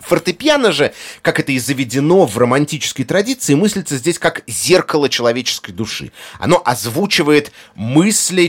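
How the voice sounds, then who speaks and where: A man giving an explanatory talk on film music, articulate, with deliberate pauses and emphatic phrasing. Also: mid-range voice. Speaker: music lecturer, presenter. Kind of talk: explanatory video essay narration on film music.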